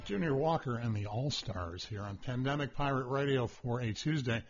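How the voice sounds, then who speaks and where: A man hosting a casual radio chat show, talking loudly and rambling with stammered restarts and a husky voice. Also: medium pitch, normal speed, faint music behind. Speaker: radio host. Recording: dull lo-fi radio broadcast.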